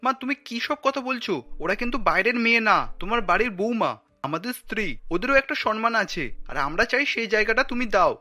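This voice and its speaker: A man's voice: high-pitched, lively intonation, brisk, sharp tone, soft background music, heavily acted. A man voicing an earnest, principled grown-up son in a cartoon, speaking reproachfully. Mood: indignant. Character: principled adult son, earnest, protests his mother's snobbery.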